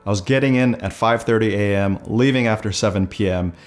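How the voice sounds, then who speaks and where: A man in his forties speaking in a measured, reflective way as an interviewee in a documentary. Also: on the low side.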